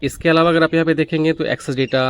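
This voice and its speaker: A man's voice: on the high side, fairly even intonation, fast, clear, resonant tone. A man hosting a gadget review, explaining things methodically, step by step. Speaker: gadget reviewer, video host. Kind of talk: product review walkthrough, explanatory.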